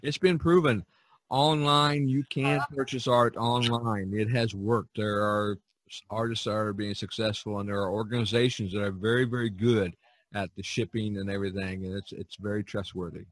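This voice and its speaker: Low-voiced man in casual podcast chat. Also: fairly even intonation, no room sound. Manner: halting, chuckling, plugging his work. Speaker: podcast host and artist.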